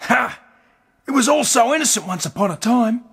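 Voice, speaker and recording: Husky, high-strung Australian street drifter. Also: male voice, animated, moderate pace, a bit bright, heavily acted. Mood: reminiscing, intense. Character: streetwise, excitable male former squatter, scrounging in a ruined city. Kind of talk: radio drama acting.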